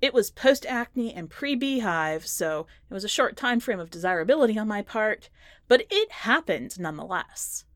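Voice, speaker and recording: Female narrator, literary short fiction podcast, measured but expressive, mid-pitched, very dry sound. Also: no room sound. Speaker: podcast host and fiction reader. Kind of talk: audiobook-style first-person short story narration.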